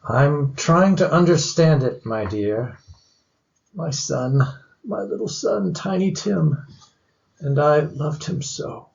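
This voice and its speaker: Man playing gentle, heartbroken father, muffled sound. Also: a little high, expressive, vocal fry, exaggerated voice. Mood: grieving. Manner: tender, earnest, halting. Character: gentle, heartbroken father mourning his little son. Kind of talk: old-fashioned theatrical radio drama acting.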